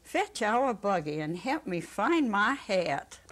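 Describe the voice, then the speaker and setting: An older woman giving a dramatic reading as a fond, excitable, childlike elderly lady, with an expressive delivery. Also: medium pitch, slow. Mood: excited. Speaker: dramatic reader. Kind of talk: dramatic reading of a short story. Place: indoor studio set. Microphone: lapel.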